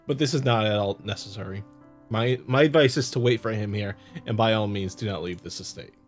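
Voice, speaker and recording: A man's voice: fairly low pitch, brisk, faint music behind, natural voice. A man voicing a polite imperial detective in a visual novel, speaking in a formal, restrained, matter-of-fact way. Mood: measured, official. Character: polite, measured male imperial detective from a small village.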